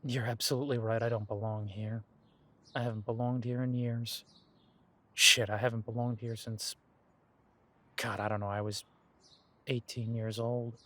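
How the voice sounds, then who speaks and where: A young man voicing an easygoing werewolf man in an audio roleplay, talking to the listener in a relaxed, reminiscing way and chuckling often. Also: mid-range voice, normal speed, long pauses, lightly acted. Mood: reflective.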